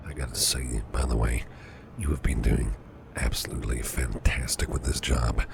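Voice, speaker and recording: Caring boss , male actor: rock-bottom pitch, heavy creak, breathy half-whispers, soothing and tender ASMR roleplay delivery, close mic. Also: heavily acted. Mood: caring, encouraging. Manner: soothing, unhurried, repeats reassurances softly. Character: caring, reassuring businessman boss, gently flirtatious. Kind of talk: ASMR roleplay, personal attention. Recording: clearly audible background noise.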